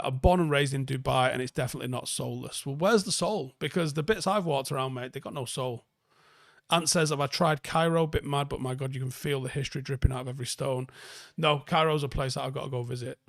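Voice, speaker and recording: Male livestream host, softened Mancunian accent, creaky mid-pitched voice, close dry sound, blunt and sweary off-the-cuff chat. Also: brisk, dry recording. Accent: northern English, softened Manchester. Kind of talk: casual chat, answering viewer comments.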